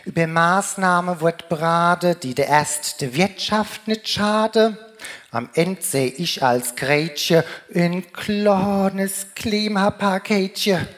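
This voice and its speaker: A woman's voice: lowish voice, slow. A woman with a Rhine-Hessian German accent delivering a humorous carnival speech in dialect, declaimed in sing-song rhyming couplets. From Germany, Rheingau region. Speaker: carnival speaker.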